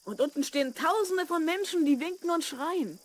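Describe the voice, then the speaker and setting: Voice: extremely high pitch, normal speed. A performer playing a curious, earnest twelve-year-old schoolboy in a children's radio play. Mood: amazed. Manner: inquisitive, earnest.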